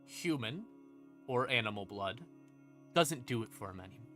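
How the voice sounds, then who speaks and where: A man explaining game lore on a casual livestream, conversational and playful, with dramatic pauses and asides. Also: medium pitch, lively intonation, bright, forceful tone. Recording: ambient music behind the voice. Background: creepy ambient music.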